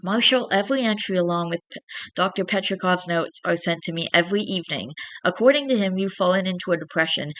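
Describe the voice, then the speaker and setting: A woman's voice: on the low side, quick pace, fairly bright tone, close to an everyday voice. A woman voicing a stern, cold mother with a serious, commanding manner in a story read aloud. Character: stern, cold, controlling mother of a grown son.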